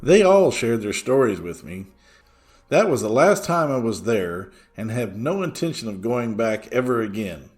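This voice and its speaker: A man reads a scripted first-person story for a podcast. His voice sits at a middling pitch and moves up and down freely as he narrates. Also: sing-song, moderate pace. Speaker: podcast host reading listener stories.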